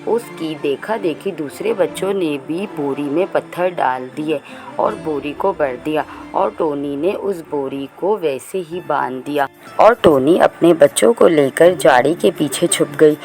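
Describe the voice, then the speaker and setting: A woman tells a children's tale in scripted narration. Her voice is on the low side and her pace is slow and measured, with music playing faintly behind. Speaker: storyteller.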